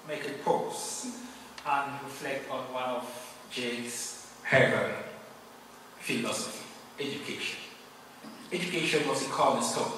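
A man from Cameroon, a native Yemba speaker, giving an earnest, grateful award acceptance speech with measured pauses. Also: mid-range voice. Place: large hall. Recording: echoey hall, distant mic, noisy.

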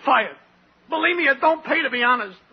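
A man's voice: very high voice, expressive, brisk. A man playing a whining, hapless, panicky American factory worker in a 1940s radio comedy. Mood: panicked. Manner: whining, agitated. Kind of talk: 1940s radio sitcom acting. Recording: dull, noisy 1940s radio recording.

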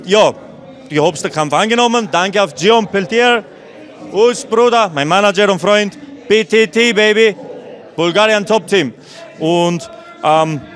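Guy in his 30s, post-fight interview, rambly and blunt, kinda high voice, handheld mic. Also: a bit bright. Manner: rambling, blunt, restarts and mixes in English. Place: event venue backdrop, indoors.

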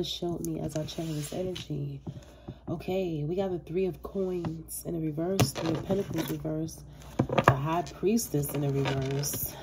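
A woman with a very low, stuffy, congested voice gives a laid-back tarot reading to her viewers. She talks in loose, slangy and blunt terms, cursing freely. A clear layer of background noise runs under the recording. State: stuffy from allergies and a cold. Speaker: tarot reader.

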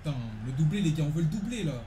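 A man's voice: on the high side, expressive, very fast, warm tone, reverberant, slight background noise. A young man from France, a streamer chatting casually and in slang while he plays a football video game. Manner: slangy, informal, talking to viewers. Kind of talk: casual live gaming chat with slang. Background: football video game audio and commentary.

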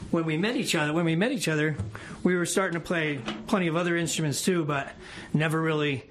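A man's voice: on the high side, quick pace, fairly bright tone, slight background noise, poor audio. A male musician guest in a casual radio interview, explaining things in a rambling way. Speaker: musician guest, bass player and songwriter. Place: radio studio.

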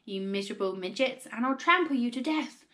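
A woman voicing a gigantic ancient hag in a storybook, rowdy and menacing, shouting drawn-out threats. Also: on the high side, expressive. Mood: rowdy menace.

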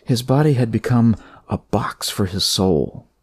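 A man narrating a horror story dramatically, in deliberate, suspenseful short phrases. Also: mid-range voice, expressive, darkish tone, slightly rough, no echo, clean studio sound.

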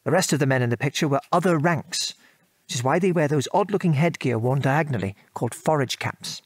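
A man's voice: on the high side, fairly quick, no echo, close to an everyday voice. An actor playing an affectionate British father and former wartime air force officer, patiently reminiscing in an audio drama. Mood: fond, amused. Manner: chuckling, patient, old-fashioned British turns of phrase.

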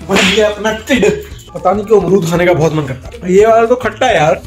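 A man's voice: sits high, fast talker. Playful young man vlogging with music behind. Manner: playful, teasing, laughs often. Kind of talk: casual family vlog chat. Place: small bedroom at home. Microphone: phone camera at arm's length.

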